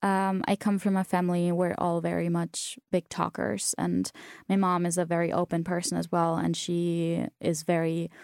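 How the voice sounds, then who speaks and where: A young woman with a lowish voice, talking in a relaxed, chatty way, full of "like" and "uh". Her first language is German, and her English carries that. The recording is close and dry. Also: normal speed, gravelly, clean tone, dry recording. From South Tyrol, Italy. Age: young adult. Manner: chatty, many fillers and restarts. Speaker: interviewee. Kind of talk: casual conversational storytelling.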